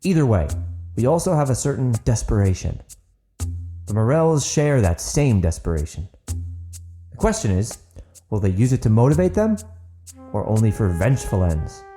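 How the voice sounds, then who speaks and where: A man playing an outspoken, diplomatic chieftain in an audiobook, speaking in formal, measured oratory. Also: mid-range voice, big pitch swings, dark tone, faint music behind, close to an everyday voice. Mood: earnest, persuasive. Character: outspoken diplomatic male chieftain, bold and persuasive.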